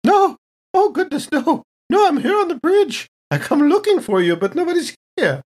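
Polite, airy woman for sci-fi tabletop game, played by a woman. Lively intonation, chatty, a touch dotty. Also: sits high, moderate pace, voice pushed up. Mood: airy, politely puzzled. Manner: genteel, chatty, vague. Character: polite, airy, unhurried woman newly woken from cryo sleep.

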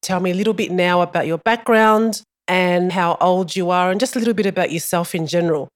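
A woman's voice: fairly low pitch, brisk. A woman hosting a podcast interview, asking friendly, encouraging questions. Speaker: podcast host.

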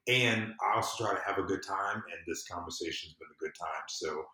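A man speaks as a podcast guest in a relaxed, casual conversation. His voice sits fairly low, and the recording has a clearly echoey room sound. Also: brisk. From the American South. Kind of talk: casual chat.

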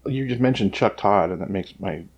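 Male podcast co-host, low-ish voice, close-miked, rambling and opinionated, frequent restarts. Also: expressive, a little fast, breathy edge, lo-fi sound. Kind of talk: casual chat. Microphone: sits close to the microphone.

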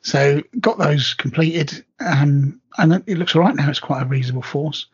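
Very raspy man, relaxed and hesitant. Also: fairly high pitch, moderate pace. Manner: relaxed, hesitant, many ums. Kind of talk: casual hobby chat. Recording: dull lo-fi audio.

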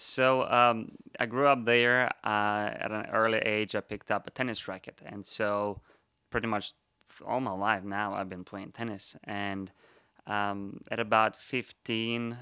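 A man's voice: lowish voice, pushed and bright, clear, resonant tone, dry recording, clean studio sound. A young man from Russia speaking English with a Russian accent, chatting good-humoredly as a podcast guest. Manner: good-humored, laughs easily, uses fillers. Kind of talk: casual interview chat.

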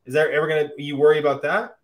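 A man's voice: on the high side, level tone, very fast. A man interviewing a guest, asking loose, rambling questions that he keeps rephrasing and tagging with "right?".

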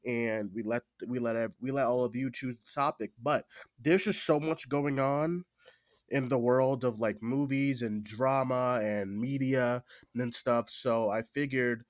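A man with a stuffy cold hosts a casual podcast, talking in a halting way that often doubles back on phrases. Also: medium pitch, no echo, studio quality. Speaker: podcast host.

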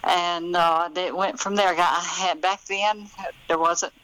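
A woman's voice: lowish voice, fairly even intonation, a little slow, a bit bright, clean tone, rough recording. An older American woman, telling stories conversationally as an author being interviewed.

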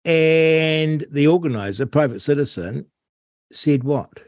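A man's voice: fairly high pitch, lively intonation, slow, a little mellow, no room sound, clean studio sound. A New man hosting an interview, talking casually and wryly, stretching words for emphasis. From New Zealand. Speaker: host.

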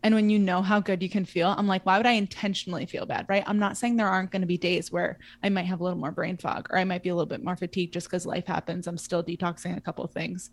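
A woman's voice: on the low side, level tone, fast, few pauses. A woman in her twenties co-hosting a podcast, talking casually and chattily with lots of fillers. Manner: chatty, filler-heavy, frequent "like". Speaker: podcast co-host. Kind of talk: casual chat.

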